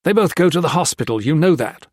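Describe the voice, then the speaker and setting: A man's voice: on the high side, animated, fast talker, a little mellow, no echo, studio quality, voice pushed up. A man voicing a crooked army colonel who commands, blusters and threatens. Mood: curt. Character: bullying male army colonel, menacing and crooked.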